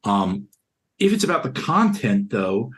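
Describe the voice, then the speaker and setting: A young man with a deep, carrying voice tells of his own experience in an interview, earnest and stop-start, often repeating words as he searches for the next one. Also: big pitch swings, unhurried, fairly bright tone. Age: young adult. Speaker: interviewee, university student. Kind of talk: recounting a personal experience in an interview.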